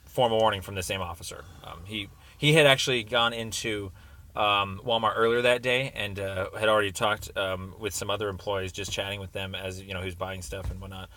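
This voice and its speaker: A man's voice: lowish voice, fairly even intonation, brisk, bright, forceful tone. A man talking informally on a phone call as a caller, explaining things in a hesitant, rambling way. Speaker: caller making a complaint. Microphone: recorded phone call.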